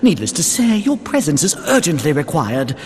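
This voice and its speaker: A man playing a formal knightly messenger in a fantasy game, speaking gravely and courteously. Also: a little high, very animated, moderate pace, a little mellow. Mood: grave urgency. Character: formal knightly messenger reporting to his commander. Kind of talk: dramatic video game cutscene acting. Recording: reverberant hall, faint noise.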